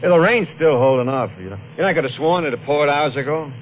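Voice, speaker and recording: An American actor playing a haunted, nervous killer at the wheel in a 1950s radio thriller, with halting, muttering speech. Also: male voice, fairly high pitch, natural voice. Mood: nervous, afraid. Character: haunted, nervous, guilt-ridden male driver turned killer. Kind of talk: 1950s radio thriller acting, part narration. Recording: noisy, tinny 1950s radio recording.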